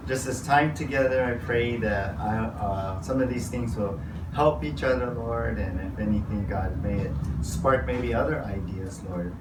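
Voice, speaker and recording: A man's voice: mid-range voice, normal speed. A man, a pastor, leading a casual workshop Q&A and rambling relaxedly with lots of "you know.". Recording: roomy, far from mic, noisy.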